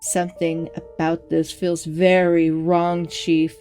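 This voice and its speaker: A woman's voice: on the low side, little pitch movement, takes it slowly, mellow. A woman playing a worried, pleading young assistant newspaper editor in an audio drama, speaking earnestly and insistently. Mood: uneasy, insistent. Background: music bed.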